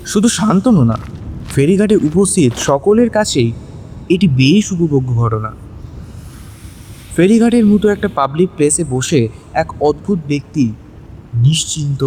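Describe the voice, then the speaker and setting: Mellow, fairly high-voiced male story narrator. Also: slight background noise. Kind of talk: scripted dramatic story narration.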